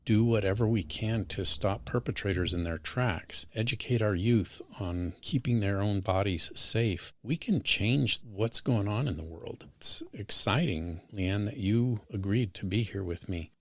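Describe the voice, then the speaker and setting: Earnest low-voiced male podcast host. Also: no room sound. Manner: earnest, formal and encouraging. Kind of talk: podcast interview hosting.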